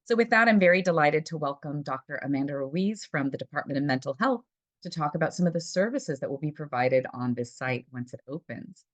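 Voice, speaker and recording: A woman with a very low voice moderates a community meeting, introducing speakers in an organized, welcoming way. Her voice comes through dry, with no room sound. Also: expressive, brisk, no echo. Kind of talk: moderating a video call meeting.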